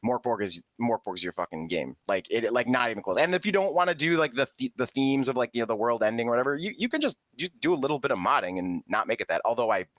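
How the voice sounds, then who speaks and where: A man's voice: medium pitch, expressive, quick pace, hardly any pauses, fairly bright tone, dry recording, studio quality. A man co-hosting a casual gaming podcast, talking animatedly, swearing casually and stumbling into restarts. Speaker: podcast co-host. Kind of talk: casual chat.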